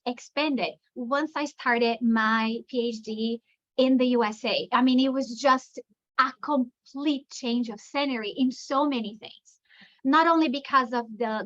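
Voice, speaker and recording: A high, bright voice from a woman from Brazil whose first language is Portuguese, delivering a plenary lecture. She talks with eager enthusiasm and restarts her sentences often. The recording sounds dull and lo-fi. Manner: enthusiastic, conversational with frequent restarts. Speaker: plenary speaker. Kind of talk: academic lecture.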